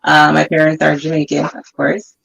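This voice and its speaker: A woman's voice: low voice, moderate pace, creaky, breathy edge. A woman from Canada, a guest business owner, chatting casually about her company with plenty of fillers, heard over a distant remote mic. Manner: relaxed and chatty, many fillers. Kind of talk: casual chat.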